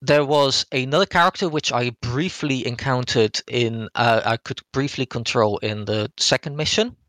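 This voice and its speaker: A man talks casually as a podcast co-host going over a game. His delivery is halting and hedging as he rephrases his way along, at a middling pitch in a dry, close-sounding recording. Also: fairly bright tone, no echo. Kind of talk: casual rambling game review talk.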